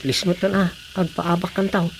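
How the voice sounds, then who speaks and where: Hapless, pleading Ilocano young suitor. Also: male voice, sits high, flat delivery, normal speed, creaky, a little hiss or hum, low-quality recording. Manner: hesitant, pleading. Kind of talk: Filipino radio drama comedy acting.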